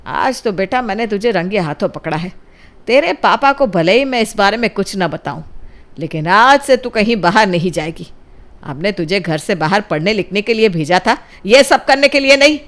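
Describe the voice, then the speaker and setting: A woman's voice: mid-range voice, lively intonation, normal speed, lightly acted. Woman acting a furious, strict Indian mother. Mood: angry scolding. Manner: scolding, threatening, forceful. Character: strict, furious middle-aged Indian mother scolding her children.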